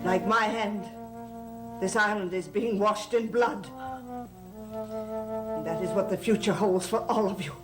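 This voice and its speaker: A woman's voice: medium pitch, steady tone, a little slow, long pauses, loud music behind the voice, lightly acted. An actress playing a solemn, formal, centuries-old priestess devoted to her gods in a gothic soap opera. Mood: ominous. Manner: grave, formal, portentous.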